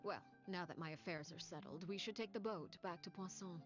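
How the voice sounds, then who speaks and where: Commanding aristocrat, actress, low and measured, muffled game audio. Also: female voice, lightly acted. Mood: cool commanding. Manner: calm, measured, condescending. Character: cold, commanding noblewoman, female leader. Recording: dull game audio with music.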